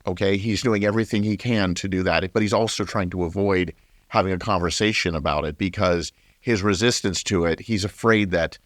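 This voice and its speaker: Male author as radio guest, acted: deep register, earnest, deliberate, stop-and-start explanations, close dry recording. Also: fairly even intonation, no echo, lightly acted. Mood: earnest, explanatory. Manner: halting, deliberate, emphatic explaining. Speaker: interview guest. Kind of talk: late-night radio interview commentary.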